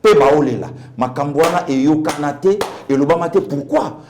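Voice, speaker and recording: A man's voice: fairly high pitch, moderate pace, a little mellow, slight background noise. A man with a Congolese accent giving impassioned political commentary as a guest pastor, emphatic, repeating phrases and asking rhetorical questions.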